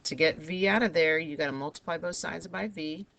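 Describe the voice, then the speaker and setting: Woman, raspy gravelly voice, hoarse, patient math teacher. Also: deep voice, fairly quick, a bit bright, slight background noise. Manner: patient, talks students through each step. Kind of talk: recorded math lesson over a digital whiteboard.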